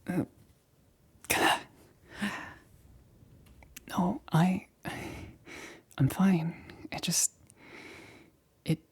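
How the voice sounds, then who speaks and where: Breathy, halting woman acting a shaken lab scientist. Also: fairly low pitch, fairly even intonation, exaggerated voice. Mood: shaken, insistent. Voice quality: breathy, whispery. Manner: halting, hesitant, stammering. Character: shaken young female lab scientist, awkward and reassuring. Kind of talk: ASMR roleplay, whispered acting.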